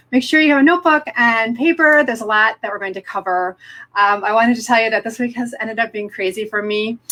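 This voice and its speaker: A woman's voice: on the high side, sharp tone, poor audio. A middle-aged woman presenting live online as a business coach, upbeat and encouraging, speaking directly to her viewers.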